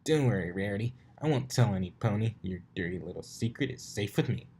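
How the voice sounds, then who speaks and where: A man's voice: lowish voice, animated, a little fast, darkish tone, breathy edge. A man voicing a brash, teasing young female pegasus pony from a cartoon story, cocky and easily rattled. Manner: cocky, mocking, exclamatory.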